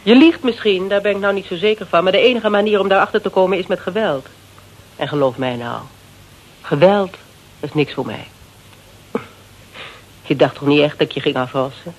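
A woman's voice: on the low side, moderate pace, long pauses, lightly acted, poor audio. A woman playing a cool, tough private detective in a Dutch radio drama, terse and dryly probing, with a Netherlands accent. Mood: cool, wry. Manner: terse, dry, pointed questioning.

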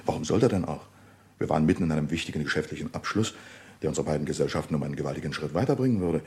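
An earnest businessman in a radio crime play. His voice sits very deep. He gives his account carefully, explaining as he goes, with some hesitation. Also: animated, very fast, a little mellow. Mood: insistent. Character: earnest businessman, male witness at gentlemen's club. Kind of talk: German radio crime drama acting.

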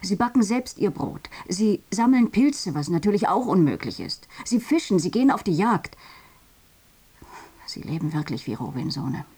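A woman playing a sober, reflective journalist in a radio drama, speaking in a measured, husky voice. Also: mid-range voice, big pitch swings, warm tone. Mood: sober, insistent. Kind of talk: radio drama documentary monologue.

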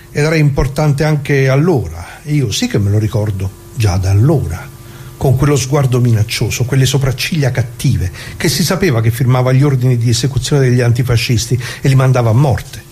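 A man's voice: mid-range voice, a little mellow, slight background noise, lightly acted. An actor playing a talkative working-class grandfather, an old leftist reminiscing in a folksy radio monologue full of asides. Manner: folksy, rambling, full of asides and rhetorical questions. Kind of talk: theatrical monologue for radio, colloquial storytelling.

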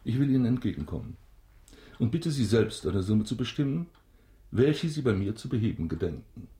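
An actor playing a self-important banker baron, formal and stiffly deferential, in a German radio drama. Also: male voice, medium pitch, big pitch swings, moderate pace, mellow, echoey room, close to an everyday voice. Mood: condescending.

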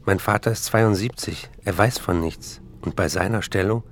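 An actor playing an anxious prisoner in a radio play, giving an account of himself in a halting, pleading manner. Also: male voice, sits low, slight background noise, natural voice.

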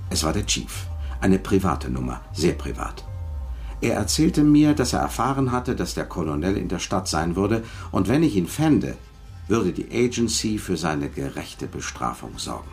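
A washed-up spy in a German radio drama, with a very low, gravelly voice. He is bitter and set on revenge, telling his story with weary gravity and a widely moving melody. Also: sing-song, normal speed, darkish tone, soft background music. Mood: wistful, determined.

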